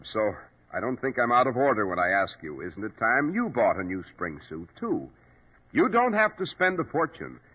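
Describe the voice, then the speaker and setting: An American man announcing in 1940s radio style, pitching to listeners with a husky voice. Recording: dull old 1940s radio recording.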